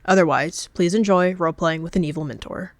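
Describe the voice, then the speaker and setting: Low-voiced woman narrating a story. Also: brisk, dry recording, studio quality. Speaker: narrator and roleplayer.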